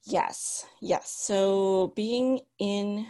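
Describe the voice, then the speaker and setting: A woman's voice: mid-range voice, steady tone, very slow. A woman in her thirties telling her story as an interview guest, reflective and full of restarts. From United States. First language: Cantonese. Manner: reflective, many restarts and ums, laughs softly. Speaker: guest, author.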